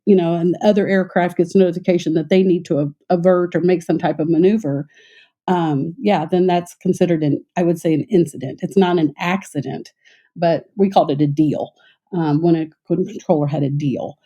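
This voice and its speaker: A middle-aged woman, a former air traffic controller, recounting her work in a measured, conversational way with frequent fillers and restarts. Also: fairly low pitch, fairly even intonation, dark tone. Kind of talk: conversational interview storytelling.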